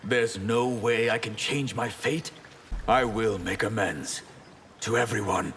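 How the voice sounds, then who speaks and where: Reverberant, earnest, repentant mage. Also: male voice, fairly low pitch, slow pace, fairly bright tone, a little hiss or hum. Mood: remorseful. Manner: earnest, questioning. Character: remorseful, inquisitive male mage seeking redemption. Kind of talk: video game character dialogue.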